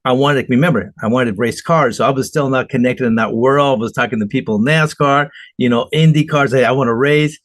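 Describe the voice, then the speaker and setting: A man's voice: medium pitch, fairly quick, hardly any pauses, no room sound. A middle-aged man from Argentina, a guest entrepreneur telling earnest stories in an interview. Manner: earnest, storytelling, emphatic. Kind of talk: conversational interview answers.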